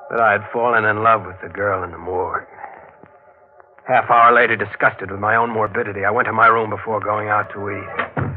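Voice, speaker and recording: A man's voice: on the low side, narrow, tinny audio, lightly acted. An actor playing a brooding, obsessive newspaper reporter who narrates his own mystery in intense 1940s radio style. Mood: brooding, tense. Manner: intense, dramatic. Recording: band-limited old 1940s radio, music behind.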